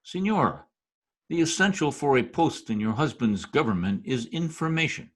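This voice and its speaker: A man acting as a scheming, politely menacing political climber in a radio drama, formal and insinuating, with a husky voice. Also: medium pitch, big pitch swings, moderate pace.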